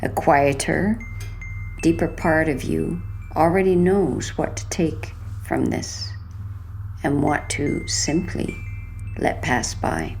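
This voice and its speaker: A woman narrating a sleep hypnosis session in a soothing, hushed, gentle manner, letting her phrases linger like guided relaxation. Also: low-pitched, slow pace, gravelly, soft background music.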